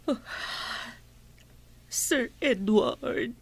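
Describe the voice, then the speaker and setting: A woman playing a grieving, pleading mother and servant in an emotional radio drama, with a tearful, halting delivery. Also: fairly high pitch, vocal fry, slightly rough, heavily acted. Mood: sad, pleading. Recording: music bed behind the voice.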